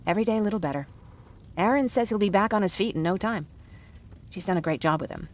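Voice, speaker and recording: A woman's voice: mid-range voice, expressive, quick pace, darkish tone, lightly acted. An actress playing a young woman who survived a nuclear war, speaking in a reflective, wry, conversational way. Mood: friendly, wry. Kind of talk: naturalistic game cutscene acting.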